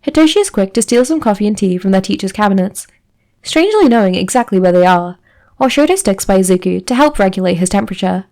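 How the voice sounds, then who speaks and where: A woman narrates a piece of fan fiction at a middle pitch. She reads from a script as a storyteller, moving through description and scene-setting. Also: animated, fast talker, warm tone. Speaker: fan fiction podfic reader.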